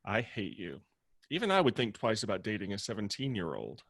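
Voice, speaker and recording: Man acting gossipy male roommate, twenties, sardonic, teasing, very animated intonation. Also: lowish voice, brisk, husky, heavily acted. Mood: dry annoyance. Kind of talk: table read acting.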